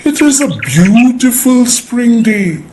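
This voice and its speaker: A storybook grasshopper for small children, played by a woman, speaks at a very slow, drawn-out pace. The pitch is mid-range, and there is clear background noise with chirping birds and insects. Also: big pitch swings. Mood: breezy, inviting. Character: carefree lazy male grasshopper, later pleading and humbled. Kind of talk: children's puppet show storytelling.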